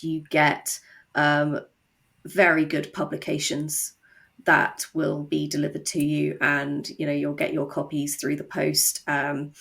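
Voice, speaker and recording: A woman chatting as a guest in a podcast interview, explaining things in a hesitant way with pauses mid-sentence. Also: low-pitched, creaky, fairly bright tone. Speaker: audio drama co-creator, podcast guest. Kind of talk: casual podcast interview chat.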